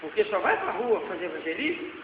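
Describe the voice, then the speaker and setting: Forties male preacher, Brazilian accent, fervent then hushed, recorded roomy and far with faint hiss. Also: sits high, sing-song, quick pace. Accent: Brazilian Portuguese. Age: 40s. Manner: swings between loud exhortation and quiet asides. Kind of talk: sermon with slides. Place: church hall. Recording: echoey hall, dull lo-fi sound, faint noise.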